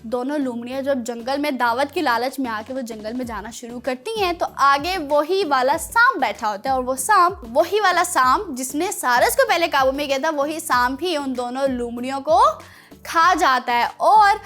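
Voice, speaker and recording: High-pitched woman telling children's stories. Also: expressive, moderate pace, a bit bright. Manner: asks listeners questions, teacherly. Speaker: children's show host and storyteller. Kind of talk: storytelling to children.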